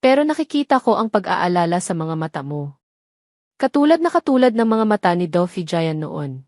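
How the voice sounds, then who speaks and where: Lady narrator doing a kind old nurse in an audio drama, soft caring voice, confiding, dry sound. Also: on the low side, big pitch swings, moderate pace, no room sound. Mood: sympathetic. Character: gentle elderly female head nurse, sympathetic, near retirement.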